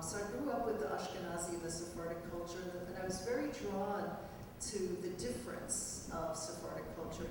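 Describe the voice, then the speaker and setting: A woman from New York reminiscing at a panel in a reflective, halting way with pauses. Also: fairly low pitch. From New York, Cuban immigrant family. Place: large reverberant room at a live panel. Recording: echoey hall, distant mic, noisy.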